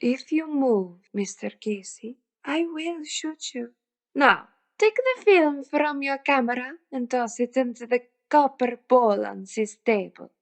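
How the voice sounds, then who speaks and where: A woman playing a menacing, haughty phony psychic in a radio comedy drama, formal, deliberate and imperious. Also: high voice, lively intonation, exaggerated voice. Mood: cold, threatening. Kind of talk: old-time radio villain acting.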